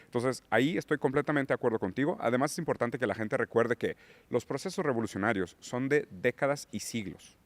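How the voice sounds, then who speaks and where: A man's voice: medium pitch, fairly quick. A man who is a guest on a political podcast, lecturing on theory and rising into emphatic points. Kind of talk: political conversation.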